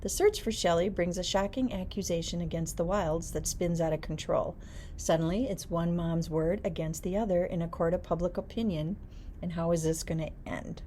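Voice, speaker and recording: A woman's voice: fairly low pitch, normal speed, dark tone, slight background noise. A woman, a librarian, reading out book summaries and adding casual comments of her own. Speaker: librarian presenting book picks.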